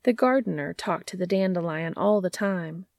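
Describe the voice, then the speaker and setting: Woman reading aloud, slightly creaky voice. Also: lowish voice, moderate pace, a little mellow, dry recording, clean studio sound. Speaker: podcast host and fan fiction reader. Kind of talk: scripted storybook narration.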